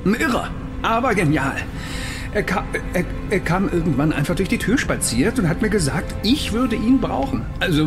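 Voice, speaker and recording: An actor in a German radio drama playing a nervous, evasive, self-important captive who stammers and repeats himself. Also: male voice, on the high side, close to an everyday voice. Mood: reluctant confessing.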